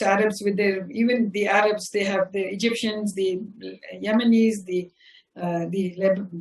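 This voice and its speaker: A woman's voice: mid-range voice, a little mellow. Older woman recalling, from a distance. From South India. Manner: unhurried, restarts phrases often. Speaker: interview guest, community leader. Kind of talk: reflective personal storytelling. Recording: roomy sound from a distant mic.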